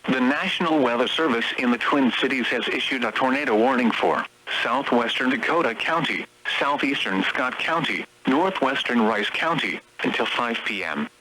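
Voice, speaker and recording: A man's voice: medium pitch, lively intonation, normal speed, hardly any pauses, pushed and bright. A synthetic man's voice reading automated emergency weather bulletins formally in clipped phrases over the radio. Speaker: weather radio warning announcer. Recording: noisy weather radio broadcast.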